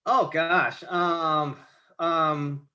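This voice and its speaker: A man's voice: fairly high pitch, fairly even intonation, very slow, bright, forceful tone. A man in his late forties from the United States, a podcast guest talking about marathons, rambling and restarting his sentences often. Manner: rambling, many restarts and stammers. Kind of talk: casual conversation.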